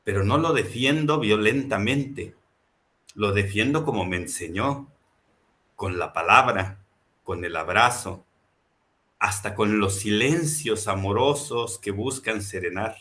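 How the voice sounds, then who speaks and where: A man's voice: fairly low pitch, slow pace, a bit bright. A man presenting a book in a reflective, impassioned talk, building his points through rhetorical repetition. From Mexico.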